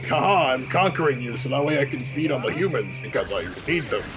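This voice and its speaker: A man's voice: mid-range voice, expressive, a little fast. A man giving casual, joking game commentary in a sarcastic, mocking way. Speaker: let's play commentator. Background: loud game music.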